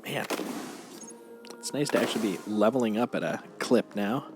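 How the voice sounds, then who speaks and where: A man's voice: lowish voice, normal speed, music in the background. A man chatting casually and playfully with friends as he streams a game live. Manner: playful, banters and mock-pleads. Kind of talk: casual gameplay chat with friends.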